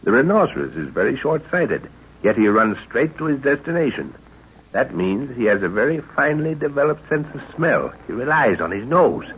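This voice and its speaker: An eager, muttering old professor, swooping pitch. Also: male voice, sing-song, moderate pace, fairly bright tone. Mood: eager, explaining. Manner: hesitant muttering to himself, exclaiming, avuncular. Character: older professor father, eager absent-minded adventurer and scholar. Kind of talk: 1940s radio adventure serial acting. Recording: muffled, noisy old-time radio recording.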